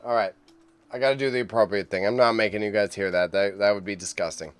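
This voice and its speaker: A man hosting a casual auction livestream, chatty and excitable as he rambles to his chat, with a mild impediment on sh and ch sounds. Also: on the low side, fast, clear, resonant tone. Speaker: livestream host reacting to an online auction. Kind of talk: casual live stream commentary.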